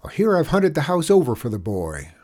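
A man's voice: on the high side, huge pitch swings, a little fast, dark tone, pitched above the performer's own voice. A man voicing a frantic young mother. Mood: alarmed. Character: frightened young mother searching for her son.

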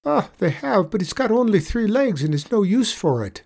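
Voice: mid-range voice, big pitch swings, moderate pace, gravelly, a little mellow, pitched above the performer's own voice. A performer voicing a wily little Irish leprechaun man in a storybook tale, coaxing with a mournful lilt. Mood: piteous, pleading. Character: wily little leprechaun man spinning pitiful sob stories.

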